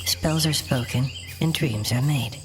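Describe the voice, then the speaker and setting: A man voicing a pensive female adventurer in an audio drama, reciting her verse softly and deliberately. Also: medium pitch, soft background music, pitched above the performer's own voice, natural voice. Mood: pensive, dreamy.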